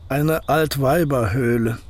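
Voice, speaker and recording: A bitter, gloomy old painter. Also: male voice, mid-range voice, takes it slowly. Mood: gloomy, scornful. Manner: curt, dry and scornful. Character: gloomy aging male painter, bitter and sardonic. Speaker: actor in a radio drama. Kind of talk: radio drama acting.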